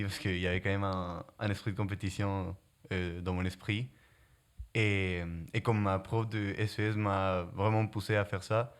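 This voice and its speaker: Young man, Spanish-accented, lower voice, halting casual answers with restarts. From Guatemala. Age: young adult. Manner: halting, many restarts, searches for words. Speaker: prep-school student.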